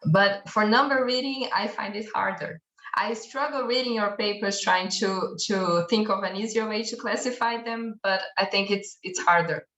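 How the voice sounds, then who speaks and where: A woman's voice: medium pitch, moderate pace, fairly bright tone, reverberant, poor audio. A woman on an academic panel asking and debating with many fillers like "uh" and "like". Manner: searching, filler-heavy questions.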